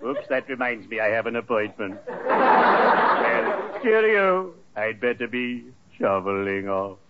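A ghoulishly cheerful undertaker for a 1940s radio comedy. He speaks with grave, flowery courtesy at a medium male pitch. The recording is muffled and buried in heavy noise. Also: sing-song, takes it slowly. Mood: solemnly genial. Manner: solemn, formal, elaborately courteous. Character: morbidly genial, ceremonious undertaker dressed as Santa. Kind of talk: 1940s radio sitcom acting.

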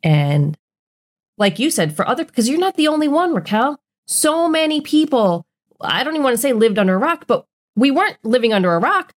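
A woman's voice: high-pitched, sing-song, brisk. A woman co-hosting a podcast, talking in an earnest, emphatic, blunt way in casual conversation. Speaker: podcast co-host.

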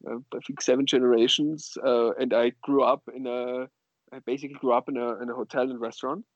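Dull-sounding Austrian man, halting casual talk. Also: mid-range voice, little pitch movement, no room sound. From western Austria. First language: German. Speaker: guest, sommelier and wine bar co-owner. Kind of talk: casual interview chat.

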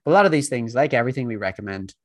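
A man's voice: mid-range voice, animated, very fast, no echo, clean studio sound. A man co-hosting a podcast in casual chat, rambling with many fillers and restarts. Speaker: podcast co-host.

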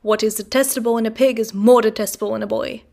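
A woman voicing a sanctimonious, theatrical church clerk who declaims in a deepened voice. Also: steady tone, quick pace, vocal fry, mellow. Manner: declaiming, preachy, deep put-on voice.

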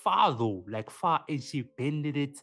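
A man, co-hosting a podcast in casual, slangy, animated chat. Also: fairly high pitch, a little slow, creaky. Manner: animated, slangy, often says "man" and "dog". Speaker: podcast co-host. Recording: music playing underneath.

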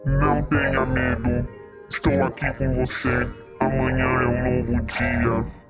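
A man's voice: medium pitch, level tone, a little slow, gravelly, over loud music. A man playing an eerie, consoling animatronic bear, solemn and reassuring, in an audio drama.

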